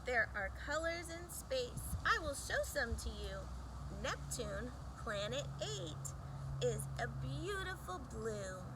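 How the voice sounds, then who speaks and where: A woman reading a rhyming picture book aloud for children in a sing-song, emphatic way with deliberate pauses. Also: high voice, big pitch swings, background noise.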